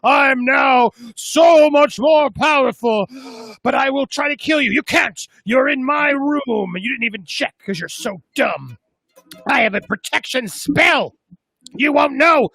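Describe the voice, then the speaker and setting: A man voicing a scheming, gloating witch woman with slow, shouted lines. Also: extremely high pitch, expressive, creaky, dry recording, exaggerated voice.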